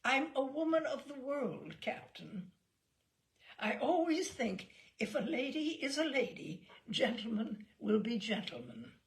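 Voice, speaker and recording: A woman voicing a prim, self-important spinster passenger with refined, slow and pompous speech in a story reading. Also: sits high, very animated, vocal fry, pitched above the performer's own voice, full character voice. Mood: prim, self-assured.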